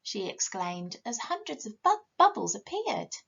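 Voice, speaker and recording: A woman's voice: mid-range voice, big pitch swings, measured pace, vocal fry, a bit bright, slightly rough, muffled recording. A middle-aged woman, a teacher reading a picture book expressively and questioning young listeners.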